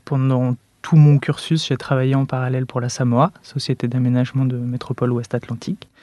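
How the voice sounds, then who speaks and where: A man's voice: mid-range voice, little pitch movement, fairly quick, mellow, no room sound. A man from France, an architect, recounting his career in an interview with many hesitations. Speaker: architect, office co-founder.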